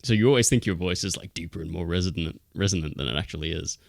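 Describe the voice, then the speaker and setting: A man hosting a livestream, chatting in a relaxed, self-deprecating way. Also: low-pitched, fast, creaky, dry recording, clean studio sound. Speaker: show host. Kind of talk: casual livestream chat.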